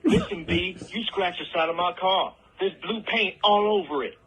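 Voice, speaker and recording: A woman's voice: mid-range voice, expressive, normal speed, a bit bright, slightly rough. A woman playing a pushy, sassy American car owner accusing someone in a scripted prank phone call. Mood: accusing, scolding. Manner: confrontational, sassy, scolding. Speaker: recorded prank-call character.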